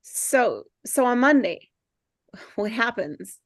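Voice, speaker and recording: Casting: female reader as insecure misfit schoolboy, higher voice, halting delivery, table-read drama. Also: sing-song, dry recording, heavily acted. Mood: hesitant, hopeful. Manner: halting, stammering, sighing. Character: earnest, insecure, awkward teenage boy, a brainy misfit. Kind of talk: table read of a film script.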